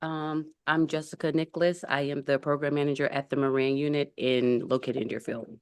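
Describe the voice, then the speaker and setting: Woman, program manager, hesitant, deep voice, smooth clear tone. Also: little pitch movement, fairly quick, a little mellow. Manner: hesitant, filler-heavy. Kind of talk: presentation at a city meeting. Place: city commission chamber.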